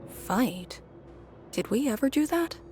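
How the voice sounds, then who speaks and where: An actress playing a subdued, serious young female operative with a wry edge and a measured delivery in a video game. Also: sits high, lively intonation, a little mellow, faint music behind. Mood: dry teasing. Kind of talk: video game story dialogue.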